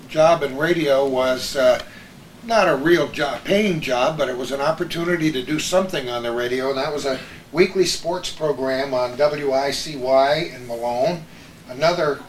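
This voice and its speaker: A man's voice: medium pitch, normal speed. An older American man, a radio veteran hosting a reminiscing conversation with colleagues. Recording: roomy echo with background hiss.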